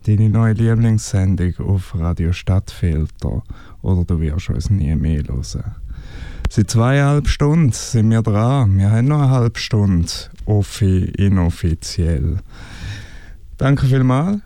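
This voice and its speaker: Ein Mann mit Schweizer Akzent, der locker eine Musiksendung im Radio moderiert. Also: male voice, fairly low pitch, expressive, slow, dark tone, dry recording. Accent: Swiss German. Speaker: radio music show host. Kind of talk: casual radio moderation between records. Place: radio studio.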